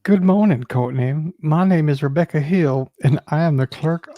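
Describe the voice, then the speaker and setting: A man's voice: a little high, normal speed, no room sound, dull audio. A man on a casual live show, reading documents aloud as a panellist.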